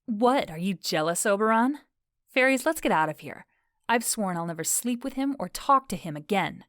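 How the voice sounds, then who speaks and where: A woman's voice: mid-range voice, animated, moderate pace, a bit bright, no echo, clean studio sound, lightly acted. A woman playing a proud, defiant fairy queen in an audio drama, declaiming with dramatic indignation. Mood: scornful, accusing. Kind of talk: modern-English audio drama acting.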